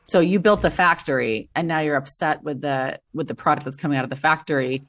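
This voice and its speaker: A woman's voice: sits low, fairly quick. A woman guest on a podcast, a former teacher, speaking bluntly and emphatically in casual conversation.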